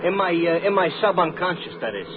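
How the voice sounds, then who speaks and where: A good-natured, none-too-bright cab driver in an old radio play. He chatters in folksy slang, in a highish voice, fumbling the big words. The sound is thin and faintly hissy. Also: animated, a little fast. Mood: chatty, earnest. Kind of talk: old-time radio comedy-drama acting. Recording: narrow old radio recording, faint hiss.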